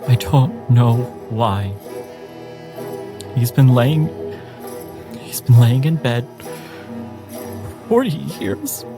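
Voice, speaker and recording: A man voicing a frightened, hesitant woman in a tabletop roleplaying game, speaking haltingly. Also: mid-range voice, sing-song, music in the background, voice pushed up. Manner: halting, fearful. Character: frightened, hesitant woman fleeing her house.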